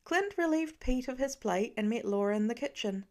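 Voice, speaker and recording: A woman's voice: fairly high pitch, lively intonation, normal speed, darkish tone. A woman reading a story aloud as scripted audiobook narration. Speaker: fan fiction audiobook reader.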